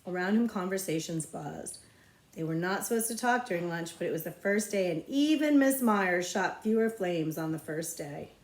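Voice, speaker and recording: A woman narrating a children's novel aloud as a librarian would, with expressive storytelling. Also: lowish voice, normal speed. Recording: echoey, roomy sound.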